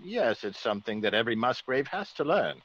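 An actor playing a genteel, easygoing English gentleman with polite, old-fashioned exclamations, in a classic radio drama. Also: mid-range voice, very animated, fairly quick. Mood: genial, dismissive. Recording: dull old radio recording.

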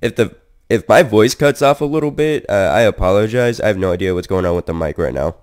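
A young man with a slightly raspy voice reacts casually into a headset mic in his bedroom. He talks at a lowish pitch, with loose fillers and easy laughs. Faint music sits underneath. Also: fast talker, darkish tone. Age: young adult. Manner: laid-back, laughs often, frequent fillers. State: raspy voice today. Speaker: reaction video host. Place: bedroom, indoors.

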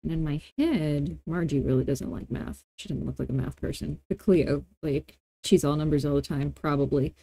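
A woman with a slightly low, mellow voice talks through an on-screen tutorial. She is informal and playful, often thinking aloud. The sound is dry and close. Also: normal speed, hardly any pauses, dry recording. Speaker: tutorial host. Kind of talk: casual screen-recorded software tutorial.

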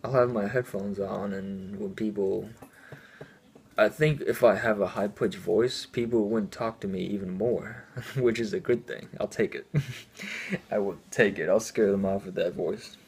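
A man's voice: lowish voice, measured pace, a little hiss or hum. A man in his twenties co-hosting a fitness channel, chatting casually and laughing often in a small room. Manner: relaxed, laughs often, reads out the questions. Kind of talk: casual chat. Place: small indoor room at home.

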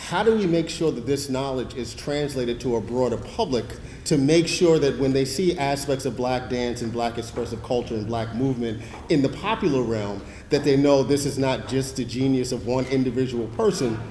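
A man speaking at a conference panel into a table microphone, measured and thoughtful. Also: medium pitch, darkish tone, a little hiss or hum. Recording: echoey lecture room.

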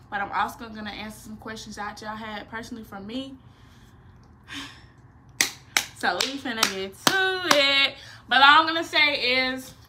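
A woman's voice: on the high side, background noise. A nineteen-year-old woman, chatting casually and playfully in a Q&A vlog from her bedroom. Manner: animated, slangy, playful, expressive hand gestures. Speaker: vlogger. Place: small bedroom.

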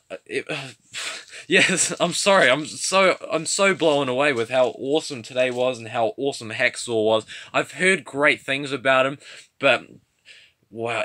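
A man talking casually to his viewers as a vlogger, gushing and halting as if lost for words. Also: medium pitch, sharp tone, no room sound, clean studio sound. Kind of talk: casual vlog narration to viewers. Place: indoor room, voice behind the camera.

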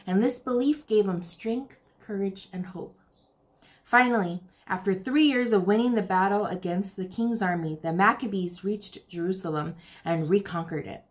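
An American woman, around her thirties, reading aloud expressively as the host of a children's storytime. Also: medium pitch. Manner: addresses young listeners, expressive picture-book reading.

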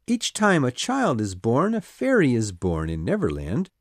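Brief: a woman playing a cocky ageless boy in a storybook, lowered voice, dry close sound. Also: wildly swooping pitch, moderate pace, dry recording, exaggerated voice. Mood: earnest. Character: boy Peter, eternally young, boastful leader. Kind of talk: storybook reading.

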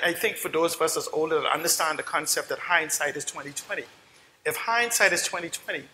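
A man's voice: fairly high pitch, pushed and bright. A middle-aged Bahamian man on a conference panel, speaking emphatically and repeating phrases for effect. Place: large conference hall stage. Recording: long hall reverb, faint noise.

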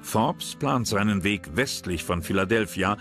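A man's voice: lowish voice, expressive, measured pace. A man reading scripted narration for a history documentary. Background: background music.

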